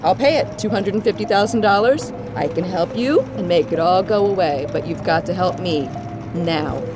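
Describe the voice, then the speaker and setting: A woman's voice: on the low side, moderate pace, background noise, close to an everyday voice. An actress playing a determined, secretive young woman in an audio drama. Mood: firm. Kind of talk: full-cast audio drama acting. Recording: music behind the voice.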